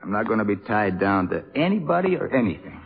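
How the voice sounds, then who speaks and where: A man playing a bitter, hard-drinking, disillusioned jazz trumpeter in a 1950s radio drama, talking in slangy, halting phrases. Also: fairly low pitch, sing-song, gravelly. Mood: restless, defiant. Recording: tinny 1950s radio recording.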